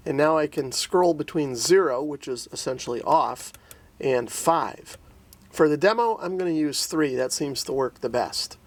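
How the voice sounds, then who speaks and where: An American man with a slightly high voice presents a hands-on product demo. He explains each step clearly in an everyday reviewer's style. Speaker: radio reviewer and demonstrator.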